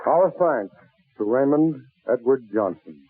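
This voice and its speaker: A man's voice: mid-range voice, lively intonation, a little slow, breathy edge. A man announcing a 1940s radio show in a formal style with ornate phrasing. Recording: muffled 1940s radio broadcast, music behind.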